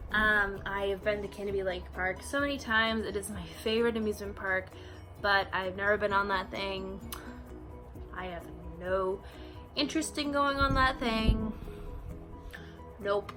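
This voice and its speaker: A young woman in her early twenties chats casually to her camera, full of ums and "you know"s. Her voice is bright and middle-pitched, and a soft bed of music plays quietly underneath. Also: measured pace. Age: 20s. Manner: chatty, frequent fillers like um and you know. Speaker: vlogger. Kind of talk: casual facts-about-me vlog.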